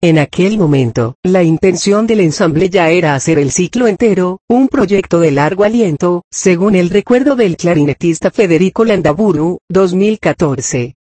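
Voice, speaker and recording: A narrator reads a scholarly text aloud at a medium pitch. The delivery is formal and measured, clearly scripted, with references read out in the same careful way. The sound is close and dry, free of room echo. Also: animated, mellow.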